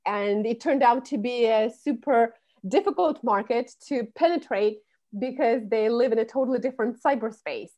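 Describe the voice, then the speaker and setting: A woman's voice: a little high, normal speed, dark tone. A woman, a public speaking coach who explains things fluently in an interview. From Russia. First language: Russian. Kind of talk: podcast interview.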